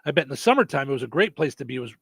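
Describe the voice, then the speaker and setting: Gravelly man rambling on radio. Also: mid-range voice, sing-song, rapid-fire, fairly bright tone, no echo, studio quality. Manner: rambling storyteller with many fillers and restarts. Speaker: radio show host; stand-up comedian. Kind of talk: casual on-air radio chat.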